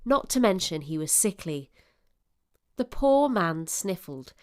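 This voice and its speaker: A woman narrator reading a scripted period drama in an expressive, literary storytelling manner. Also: lowish voice, slow.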